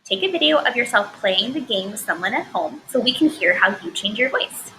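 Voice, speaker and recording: A woman teaching a recorded lesson to first graders in clear, encouraging, expressive speech. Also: a little high, fairly quick, a little hiss or hum, poor audio.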